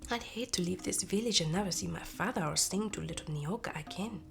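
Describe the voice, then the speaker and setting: A woman voicing a kind, dignified young village woman, gentle and sincere, in a storybook tale. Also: fairly low pitch, a little fast, gravelly, faint music behind. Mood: wistful.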